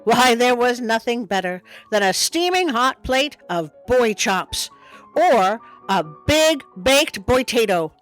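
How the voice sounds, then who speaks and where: A woman's voice: on the high side, a bit bright, rough recording. A woman voicing a patient but firm father wolf in a storybook, reasoning in a fatherly way.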